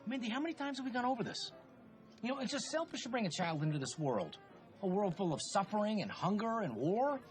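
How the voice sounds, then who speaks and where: Casting: glib, whiny crooked businessman in a comedy film, very lively pitch, music underneath. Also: quick pace. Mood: glib, dismissive. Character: selfish, evasive crooked businessman facing prison. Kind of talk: live-action comedy film acting.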